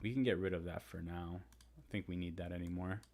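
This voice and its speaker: Low-voiced man chatting on a live stream. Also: a little fast, darkish tone. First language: Spanish. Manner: chatty, reads and answers viewer comments; talks through trading charts. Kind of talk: casual live-stream chat.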